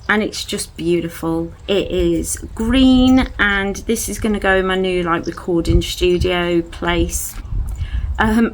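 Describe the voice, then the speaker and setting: Chatty woman showing off her haul at home, lowish voice, bit of hiss behind. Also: rough recording. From England. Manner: gushing, enthusiastic, chatty. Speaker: vlogger. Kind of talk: casual haul chat to camera. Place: at home indoors.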